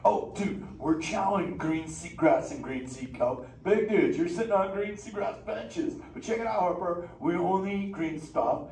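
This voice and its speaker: A man's voice: fairly high pitch, a little mellow, lightly acted. A man voicing a laid-back, slangy surfer-dude male sea turtle, talking playfully with a live audience. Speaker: live show character performer. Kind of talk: live interactive audience show. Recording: echoey theater from far off, noisy.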